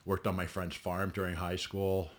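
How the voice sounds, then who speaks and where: A man's voice: low voice, little pitch movement, normal speed. A man in his forties, a bodybuilder guest telling his story bluntly in a podcast interview, swearing freely and often restarting. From Ontario, Canada.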